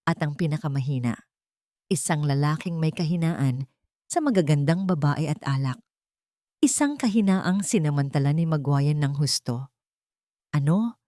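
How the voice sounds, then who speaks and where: A woman's voice: low voice, animated, normal speed, warm tone, no echo, clean studio sound. A woman giving scripted, dramatic narration of a story.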